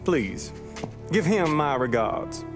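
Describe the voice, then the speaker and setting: A genial Kentucky bootlegger of the 1930s. His voice is high for a man and very animated, folksy and chummy. Music runs clearly underneath. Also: takes it slowly.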